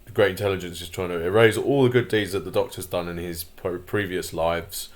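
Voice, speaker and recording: Vlog host, male, deep and croaky, rambly and opinionated. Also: fairly even intonation, a little fast. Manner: rambling, many fillers and restarts; opinionated, grumbling asides. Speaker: channel host. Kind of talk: casual commentary vlog.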